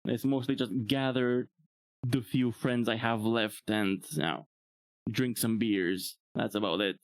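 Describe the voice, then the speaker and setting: Young man, native Bulgarian speaker, relaxed streamer chatter, mid pitch, dry close mic. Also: a little slow, dry recording. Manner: relaxed, rambling, chuckles often. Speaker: VTuber streamer. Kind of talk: casual live-stream chat.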